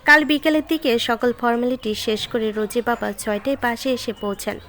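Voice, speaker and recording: A woman's voice: on the high side, fairly even intonation, normal speed, fairly bright tone. A woman reading scripted narration of a romantic story, with music behind her voice.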